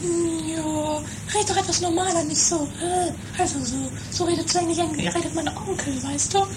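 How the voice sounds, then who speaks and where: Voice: very high-pitched, normal speed. A performer voicing a bossy, doting girlfriend in an improvised eighties comedy radio play. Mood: coaching. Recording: very noisy home radio play.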